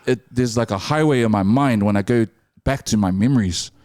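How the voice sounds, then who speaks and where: A young man from New Zealand, a guest telling his stories in casual podcast chat, animated and laughing as he talks. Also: brisk, no echo, clean studio sound. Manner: animated, swings high for impressions and laughs. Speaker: podcast guest, film director.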